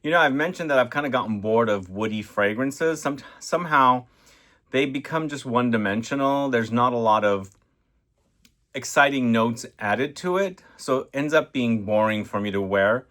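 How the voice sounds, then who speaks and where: A man's voice: medium pitch, normal speed, fairly bright tone. A man from Lebanon hosting a casual fragrance review, talking conversationally to viewers and putting questions to them. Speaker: fragrance reviewer, video host. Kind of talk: casual video review to camera.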